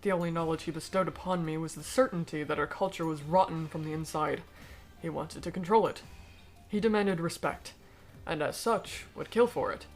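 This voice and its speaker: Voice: mid-range voice, faint music behind, natural voice. A performer in a fan dub voicing a cold, aristocratic alien noble who speaks formally and eloquently, bitter yet persuasive. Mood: grave, probing. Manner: formal, eloquent, measured speech. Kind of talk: fan-dub voice acting of comic dialogue.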